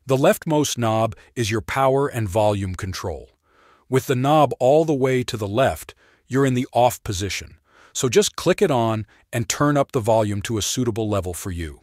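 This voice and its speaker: A man reads a scripted product tutorial in a clear, instructional manner, explaining each step plainly. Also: mid-range voice, expressive, normal speed, darkish tone, no echo, clean studio sound. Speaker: product demonstrator and narrator.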